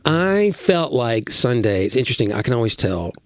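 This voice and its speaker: Lively pastor in casual chat. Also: male voice, mid-range voice, moderate pace, no room sound, studio quality. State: recovering from illness. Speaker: pastor and podcast co-host.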